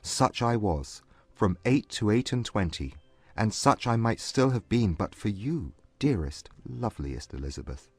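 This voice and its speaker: A man playing a proud but humbled gentleman suitor, earnest and formal, in a dramatized classic romance audiobook. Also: fairly low pitch, big pitch swings, husky, no room sound, heavily acted. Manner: formal, measured period English.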